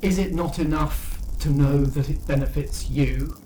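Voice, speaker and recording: A cold, reasonable demon acted out by a tabletop game master bargains in slow, measured, formal phrases. The voice sits in a very roomy space with faint noise behind it. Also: mid-range voice, mellow, natural voice. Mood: coldly persuasive. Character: coldly reasonable demon bargaining to undo time.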